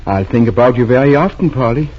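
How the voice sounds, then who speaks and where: Radio play lead, played by a man: kindly, restrained physician, measured and hesitant, husky tone, dull vintage broadcast sound. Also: mid-range voice, lively intonation, lightly acted. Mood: resigned, tender. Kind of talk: 1940s radio drama acting. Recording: muffled old radio broadcast.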